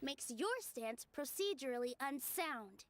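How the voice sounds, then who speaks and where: High-voiced, earnest young dancer. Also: female voice, expressive, measured pace, fairly bright tone. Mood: firm. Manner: sincere, careful, polite. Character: earnest young female theater dancer, gentle but determined. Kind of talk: scripted game drama acting.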